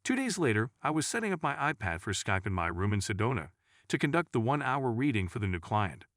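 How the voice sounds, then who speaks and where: A man's voice: medium pitch, sing-song, fast, a bit bright, no echo, clean studio sound. A man reading a scripted first-person paranormal story as a narrator.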